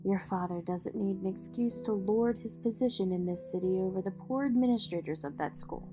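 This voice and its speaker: A woman acting in an audio drama as a poised, protective, wealthy mother and executive, measured, polished and dryly witty. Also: mid-range voice, natural voice. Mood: proud, tender. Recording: music behind the voice.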